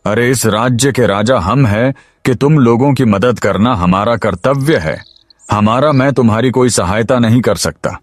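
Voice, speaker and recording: A man's voice: medium pitch, normal speed, no room sound, close to an everyday voice. A man voicing a stern, self-important king in a cartoon story, speaking formally and with authority. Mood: scolding. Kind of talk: Hindi cartoon moral-story voice acting.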